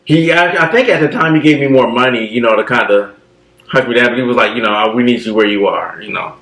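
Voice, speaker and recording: A man in his thirties telling stories in a relaxed, casual way, filling his talk with "you know". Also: medium pitch, fast talker, roomy sound, poor audio. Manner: relaxed storytelling with many fillers. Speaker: co-host. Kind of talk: casual chat.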